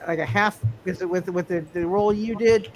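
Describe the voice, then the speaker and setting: A man narrating a tabletop roleplaying game as game master, speaking haltingly and often repeating his words. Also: medium pitch, fairly even intonation, a little hiss or hum.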